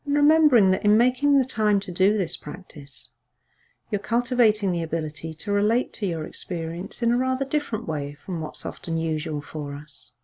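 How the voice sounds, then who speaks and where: A woman leading a guided body scan meditation as a mindfulness teacher, speaking gently and in a measured, soothing way with pauses. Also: medium pitch, lively intonation.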